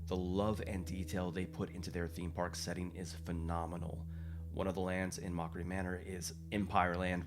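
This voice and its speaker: A man's voice: low voice, background noise. A man speaking as a podcast creator, giving a scripted sign-off and recommendation.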